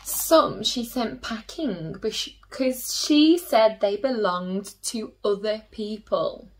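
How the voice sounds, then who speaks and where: A woman reading aloud expressively to young children as a storyteller, gently putting questions to her listeners. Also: medium pitch, takes it slowly.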